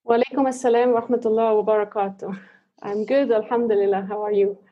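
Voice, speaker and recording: A woman's voice: a little high, fairly even intonation, fast, dull audio. A woman in her thirties from Switzerland with a Swiss accent, a guest in a casual interview who speaks hesitantly while searching for English words.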